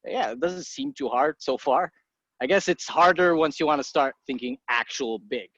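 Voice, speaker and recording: A man in his thirties from Egypt, a podcast guest talking casually and bluntly, swearing freely and tossing off quick asides. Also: a little high, fairly bright tone, dry recording. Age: 30s. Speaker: guest, entrepreneur. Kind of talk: casual interview talk. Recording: dry muffled podcast audio.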